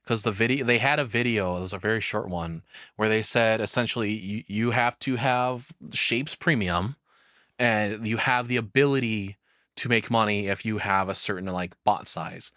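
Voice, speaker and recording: A man with a fairly deep voice talks through his thoughts as a casual reaction streamer. He rambles conversationally and swears now and then. The sound is dry, with very little room in it. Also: moderate pace, bright, forceful tone, no room sound.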